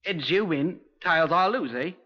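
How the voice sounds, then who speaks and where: Muffled, cocky young crook. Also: male voice, on the high side, lively intonation, unhurried. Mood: defiant, bargaining. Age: young adult. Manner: slangy, insolent, evasive. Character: cocky, wary young male ex-borstal crime suspect. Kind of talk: 1950s radio crime drama acting. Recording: muffled 1950s radio recording.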